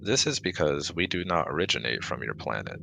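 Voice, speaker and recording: A man acts out an officious male alien scientist in an audio roleplay, with a rock-bottom, creaky voice. His wording is precise and formal and his patience is short, yet his intonation moves around a lot. There is clear noise in the recording. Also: a bass voice, sing-song, fairly quick, pushed and bright, full character voice. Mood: formal, reassuring. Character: formal, easily exasperated male alien exobiologist. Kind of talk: scripted ASMR audio roleplay.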